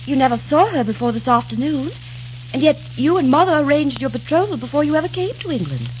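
An actress playing a sweet, earnest young English lady of the eighteenth century in a 1940s radio drama, polite and gentle. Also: high voice, sing-song, fast talker. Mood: earnest, curious. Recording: dull, hissy 1940s radio recording.

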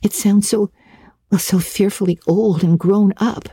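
A woman's voice: mid-range voice, fairly even intonation, unhurried, mellow, slightly rough, dry recording. A woman voicing an earnest, talkative thirteen-year-old girl in a dramatic storybook reading, with breathless, solemnly emphatic delivery.

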